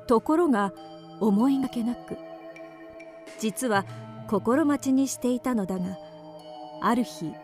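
High-voiced woman narrating over music. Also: a little slow, long pauses, darkish tone. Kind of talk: scripted narration of a Japanese radio drama horror story.

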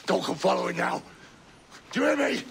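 A man playing a reckless, vicious pirate captain in a video game, gravelly, sneering and volatile. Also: sits high, very animated, brisk, a bit bright, a little hiss or hum, exaggerated voice. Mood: crazed. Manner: sneering, foul-mouthed, volatile. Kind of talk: dramatic video game acting.

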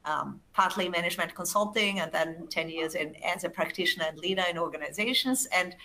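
A woman's voice: on the low side, brisk, sharp tone, low-quality recording. A woman with an Austrian accent presenting research slides in a webinar over a video call, enthusiastic and often restarting words. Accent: Austrian German.

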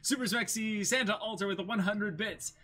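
A man's voice: high-pitched, fairly quick, bright, forceful tone. A man in his twenties hosting a livestream, chatting casually and reading aloud in a jokey way, repeating short phrases. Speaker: streamer hosting a manga dramatic reading. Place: small indoor room with backdrop.

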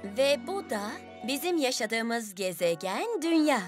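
A woman voicing a gentle schoolteacher who explains things to children in a cartoon. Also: on the high side, sing-song, unhurried. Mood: explaining. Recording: music behind the voice.

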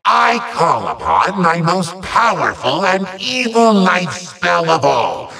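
An actor playing a pompous, short-tempered evil sorcerer villain in a video game, with a gravelly, nasal voice and bombastic, sputtering theatrical delivery. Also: male voice, sits high, sing-song, takes it slowly, pushed and bright, distant mic, full character voice, rough recording. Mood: grandiose, furious.

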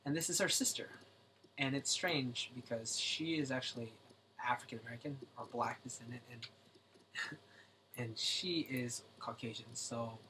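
Soft-spoken man streaming late at night. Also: medium pitch, moderate pace, a little hiss or hum, poor audio. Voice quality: speaks softly to keep voice down. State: slightly raspy from singing. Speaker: livestream host drawing. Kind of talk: casual late-night livestream chat. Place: home at night.

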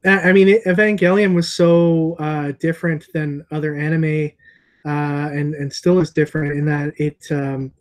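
A man's voice: fairly high pitch, flat delivery, moderate pace. A middle-aged man hosting a casual interview over a video call. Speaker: host. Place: indoor room at home or shop, on a video call.

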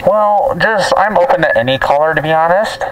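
A man in his twenties speaking through a megaphone in an awkward, deadpan prank style. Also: high-pitched, fairly quick, rough recording. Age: 20s. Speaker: prankster and video host. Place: campus lawns and lounges, some distance from camera. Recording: megaphone voice amid background chatter.

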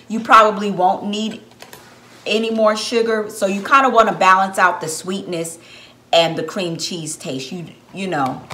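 A woman's voice: mid-range voice, sharp tone, reverberant. A woman in her thirties chatting to viewers as she shows her cooking steps in a home kitchen, with the camera set on the counter. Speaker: home baker, channel host. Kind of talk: casual cooking demonstration. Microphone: camera on the counter, at arm's length.

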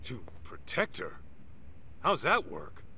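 Gruff, slow-talking street-tough detective. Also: male voice, medium pitch, wildly swooping pitch, pushed and bright, slight background noise, exaggerated voice. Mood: blunt, pressing. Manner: blunt, slangy, streetwise. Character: gruff, street-tough middle-aged detective, slangy and blunt. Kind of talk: video game voice acting.

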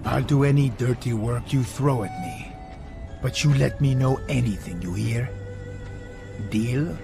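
An actor playing a tough, cocky mercenary hero in a video game, terse and brooding with a gravelly voice over music. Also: male voice, medium pitch, measured pace. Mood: brooding, determined. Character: tough, cocky male gun-for-hire action hero. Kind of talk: video game cutscene acting. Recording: music behind.

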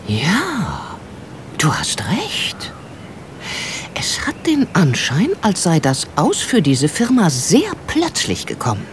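A practical, sceptical companion in a radio mystery. Her intonation is lively and varied. Clear ambient sounds run behind her voice. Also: low voice, slow, noisy background, exaggerated voice. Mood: doubtful, cooperative. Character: sensible, doubtful female companion of a sleuth. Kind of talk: German radio play acting.

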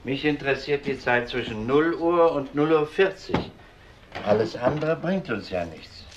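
An actor playing a persistent, no-nonsense police detective with a probing, matter-of-fact manner in a crime radio drama. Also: male voice, mid-range voice, lively intonation, normal speed, dull audio, noisy background, close to an everyday voice. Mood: sober, matter-of-fact.

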